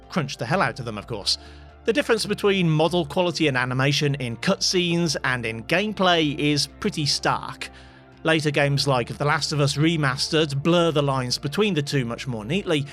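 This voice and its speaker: A man's voice: fairly high pitch, fairly bright tone. A man giving scripted voice-over narration as a tech video host, with dry, wry asides.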